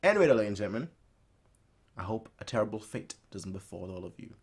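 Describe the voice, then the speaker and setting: A man hosting a reaction video, commenting casually and excitedly, often repeating phrases for emphasis. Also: on the low side, fast, slightly rough.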